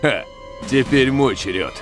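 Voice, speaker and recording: An actor voicing a big, suspicious male bear, a tough forest guardian in a cartoon dub, gruff and emphatic. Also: mid-range voice, expressive, a little slow, music in the background. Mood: menacing.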